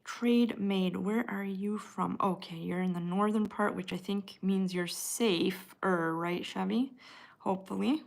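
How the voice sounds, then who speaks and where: A woman's voice: medium pitch, a little slow, vocal fry. A woman with an American Midwestern accent, hosting a casual livestream, chatting and replying to viewers by name as she moves from one comment to the next. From United States. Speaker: livestream host reading and answering viewer chat. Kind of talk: casual live chat with viewers.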